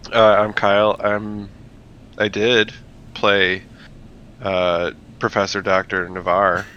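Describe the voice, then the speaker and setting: A man's voice: fairly low pitch, little pitch movement, slow pace, pauses often, pushed and bright. A man chatting casually as a player on a gaming show, a little hesitant and dryly joking. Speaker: player on a tabletop roleplaying show. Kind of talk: casual chat. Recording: dull lo-fi audio, faint noise.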